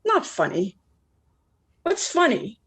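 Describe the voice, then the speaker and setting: A woman's voice: fairly high pitch, huge pitch swings, slow, slightly rough, full character voice. A woman acting a blunt, lonely forty-nine-year-old who probes with wry questions in a naturalistic play. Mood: prickly. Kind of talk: naturalistic one-act play dialogue.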